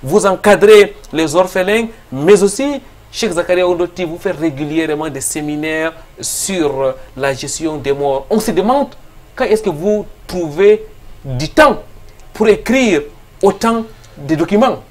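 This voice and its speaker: A man's voice: high-pitched, unhurried. A man from Cameroon hosting a religious program, asking formal, respectful questions with rising emphasis. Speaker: show host, interviewer.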